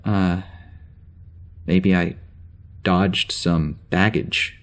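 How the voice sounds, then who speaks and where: An actor playing a troubled starship officer with amnesia, halting and rambling as he records a log in a naturalistic audio drama. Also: low-pitched, fairly even intonation, heavily acted. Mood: subdued, frustrated. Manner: halting, rambling, self-interrupting.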